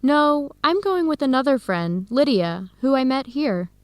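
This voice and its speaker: Friendly, higher-voiced young American researcher. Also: female voice, expressive, a little slow, clean tone, dry recording. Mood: upbeat, chatty. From United States. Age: young adult. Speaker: radio drama actor. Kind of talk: scripted English-learning radio drama.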